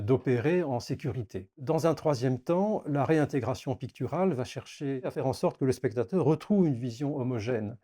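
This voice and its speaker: A man working as a painting restorer explains the craft in a measured, formal, precise way, as in a documentary interview. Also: medium pitch, dark tone.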